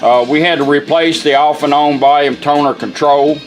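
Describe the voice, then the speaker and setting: A man's voice: a little high, fairly even intonation, moderate pace, soft background music. A plainspoken, folksy man giving a casual product demonstration as a radio restorer. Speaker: radio restorer presenting his work.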